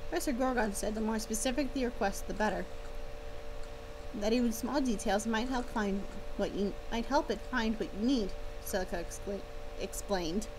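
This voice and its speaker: Higher-voiced woman reading aloud, playful. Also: normal speed. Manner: playful, exclaims in asides. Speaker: livestreamer, author reading her own story aloud. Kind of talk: story read-along with playful casual asides. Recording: clear background noise.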